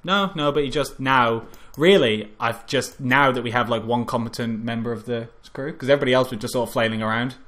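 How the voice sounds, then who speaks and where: A man's voice: mid-range voice, a little fast, hardly any pauses, a bit bright. A man co-hosting a film review podcast, recapping in a casual, exasperated, sweary way. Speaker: podcast co-host.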